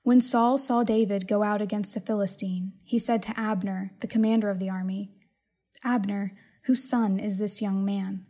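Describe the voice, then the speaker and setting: Woman, scripture reader, mellow tone, flat intonation, measured and solemn, scripted narration. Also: mid-range voice. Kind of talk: scripted Bible reading, narration.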